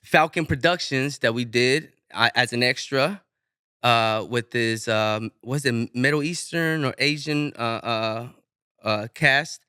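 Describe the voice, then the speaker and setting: Man with a high, smooth, bright voice. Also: slow, no echo, studio quality. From Inglewood, California. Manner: relaxed, loose, swears freely, many restarts. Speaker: rapper guest. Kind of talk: casual podcast interview.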